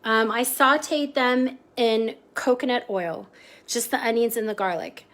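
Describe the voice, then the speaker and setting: A woman's voice: fairly high pitch, a little slow, a bit bright. A woman hosting a casual live cooking stream from her home kitchen, chatty, greeting viewers by name and easily sidetracked. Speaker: host of a cooking livestream.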